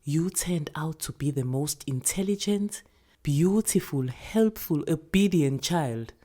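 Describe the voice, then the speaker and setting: A woman voicing an elderly grandmother in a story reading, caring but evasive, with a hushed, measured manner. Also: deep voice, animated, heavily acted. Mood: tender. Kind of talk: acted dialogue in a short story reading.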